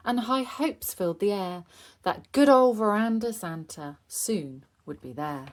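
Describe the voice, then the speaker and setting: A woman narrates a children's picture book in rhyme. Her delivery is unhurried and very animated, rising and falling with the story. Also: on the low side. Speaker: storybook reader.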